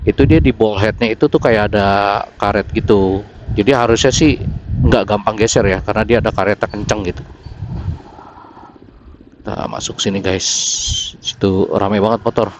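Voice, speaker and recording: A man's voice: fairly low pitch, steady tone, moderate pace, pauses often. A man with a Jakarta Indonesian accent chatting casually and slangily to viewers, thinking aloud as he rides a motorcycle, on a close helmet intercom mic. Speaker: motorcycle vlogger. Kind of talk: casual chat to viewers while riding. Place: outdoors on a motorcycle in city streets. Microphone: intercom mic a finger from mouth, inside helmet. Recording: helmet intercom mic, clear vehicle noise. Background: traffic and engine noise.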